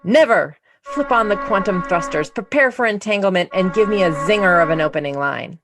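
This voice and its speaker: A woman voicing a stern, demanding female starship captain who barks loud, commanding orders in a comedy sketch. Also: mid-range voice, animated, fairly quick, over music, close to an everyday voice.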